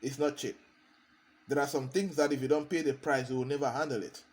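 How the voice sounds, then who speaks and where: A man's voice: mid-range voice, fairly even intonation, fairly quick. A man, a consultant giving a motivational talk, hammering points home by repeating key phrases. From Cameroon.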